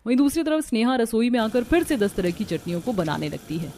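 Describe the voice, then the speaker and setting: A woman's voice: on the high side, very animated, fast talker, dark tone, slight background noise. A woman reading scripted story narration. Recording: music behind the voice.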